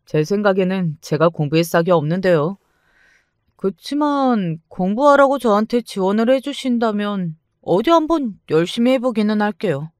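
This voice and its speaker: A reluctant teenage boy, grudging but willing, as a man puts him on. His voice is smooth and clear, recorded close in a dry space. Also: high voice, expressive, normal speed, no echo, pitched above the performer's own voice.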